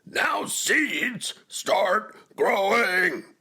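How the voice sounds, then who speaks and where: A man voicing a gruff, anxious, impatient toad in a children's storybook, with blunt, dramatic delivery. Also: deep voice, measured pace, pitched below the performer's own voice, heavily acted. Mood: commanding, loud.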